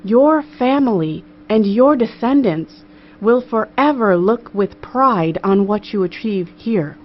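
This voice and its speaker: Audiobook queen, played by a woman narrator: earnest, formal, dryly humorous, mid-pitched, on a noisy recording. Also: expressive, slow pace. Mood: earnest, solemn. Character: earnest, gracious young queen addressing her council with dry humor. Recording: muffled audio with clear background noise.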